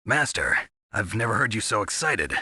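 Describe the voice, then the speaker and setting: Snake, a low husky soldier. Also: male voice, sing-song, normal speed, bright, forceful tone, dry recording, studio quality, exaggerated voice. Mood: wry. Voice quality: gravelly, husky. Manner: terse, wry. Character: gruff, skeptical male soldier hero named Snake. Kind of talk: video game voice acting.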